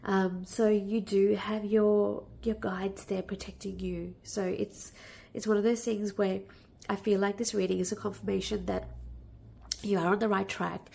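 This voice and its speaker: Woman tarot reader, nasal and croaky from a cold, gravelly, gently reassuring. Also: mid-range voice, little pitch movement, measured pace. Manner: warm, reassuring, with many fillers and restarts. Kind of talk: casual spoken tarot reading.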